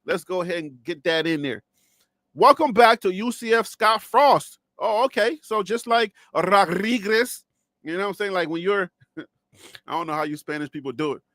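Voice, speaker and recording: Man, high voice, casual sports host, rambling jokes, dry close sound. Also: normal speed, fairly bright tone, no echo. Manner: rambling, joking, laughs often, talks to viewers.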